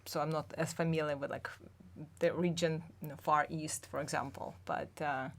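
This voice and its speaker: A middle-aged woman from Russia, a language instructor, chatting casually in a soft, thoughtful way that trails off quietly. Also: low voice, steady tone, normal speed. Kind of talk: casual chat.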